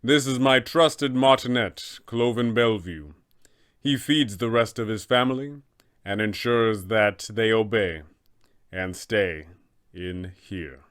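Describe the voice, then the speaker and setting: Man acting as sinister abbot, fantasy tabletop villain, serene, solemn, formal, very slow delivery, lowish pitch. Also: a bit bright, clear, resonant tone. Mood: composed, formal. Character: serene, sinister male abbot, secretly an angel.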